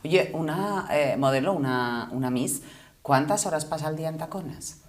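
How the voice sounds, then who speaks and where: A woman's voice: deep voice, expressive, moderate pace. A woman conducts a conversational television interview. She sounds informal and attentive as she puts her questions. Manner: informal, attentive, frequent murmured acknowledgements.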